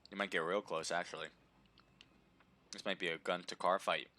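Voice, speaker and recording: Brief: man, deep bright voice, slightly hoarse, upbeat livestream game caster calling the action and greeting viewers. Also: steady tone, moderate pace. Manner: chatty, upbeat, reads viewer names aloud. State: slightly hoarse, throat sore. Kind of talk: live esports-style play-by-play commentary.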